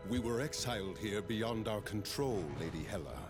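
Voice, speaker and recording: A low, swooping heroic voice a noble Norse thunder god. He declaims grandly in archaic speech, like a game hero, with loud music underneath. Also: lively intonation, normal speed. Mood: grave, proud. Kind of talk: theatrical video game voice acting.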